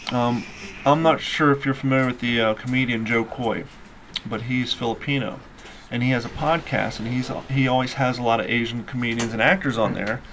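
A man's voice: medium pitch, steady tone, a little fast, a bit bright, a little hiss or hum, rough recording. A man interviewing two actors, giving long praise and rambling, talkative questions.

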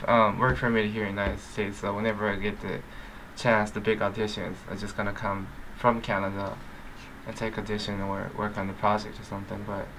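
Bright-voiced hesitant actor. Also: male voice, on the low side, slight background noise, poor audio. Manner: hesitant, many fillers. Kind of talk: interview answers.

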